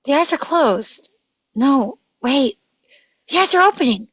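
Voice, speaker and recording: A woman's voice: high voice, animated, measured pace, dull audio. A woman playing an earnest, curious young boy hero in a script table read. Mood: tense, watchful.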